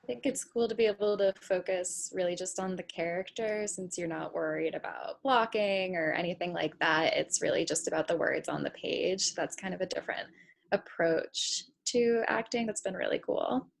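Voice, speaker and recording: A woman with a gravelly, creaky edge and a fairly low voice. She chats casually as one of the actors on a panel. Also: moderate pace.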